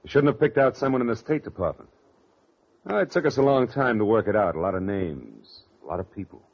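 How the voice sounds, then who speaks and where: An actor playing a hard-boiled, taunting government investigator in a 1940s radio drama, clipped and tough. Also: male voice, lowish voice, expressive, a little fast. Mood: coolly triumphant. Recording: dull vintage radio recording.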